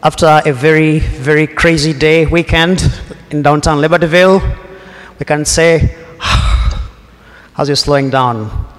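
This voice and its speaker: A man in a relaxed, wry manner introducing a guest speaker to a parish congregation. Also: on the high side, unhurried. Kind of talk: church announcement. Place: church sanctuary. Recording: long church reverb.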